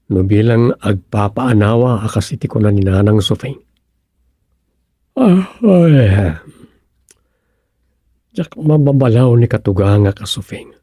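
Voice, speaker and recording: An actor with an Ilocano accent playing a fretful, muttering son-in-law in a radio comedy drama. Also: male voice, on the low side, a little slow, pauses often, warm tone, no echo, clean studio sound. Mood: pensive, worried.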